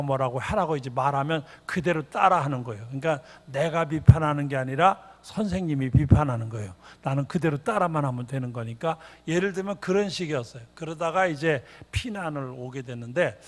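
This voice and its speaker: An older retired pastor, born in North Korea, preaching a revival sermon in a church with repeated rhetorical questions. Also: male voice, fairly high pitch. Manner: sings a hymn, then preaches with repetitive rhetorical questions. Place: church sanctuary. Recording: long church reverb.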